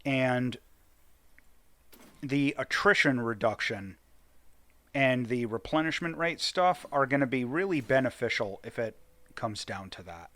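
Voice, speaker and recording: A man's voice: mid-range voice, lively intonation, a little slow, long pauses, a bit bright, dry recording. A man giving casual let's play commentary, thinking aloud and deliberating as he hosts a gaming video.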